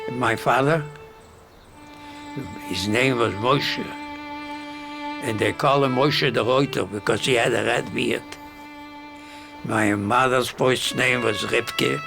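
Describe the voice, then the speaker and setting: Old man in his nineties, unhurried and gravelly, music underneath. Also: medium pitch. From Ukraine. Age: 90s. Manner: slow, simple, repetitive phrasing. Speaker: interviewee, family elder. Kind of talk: reminiscing interview.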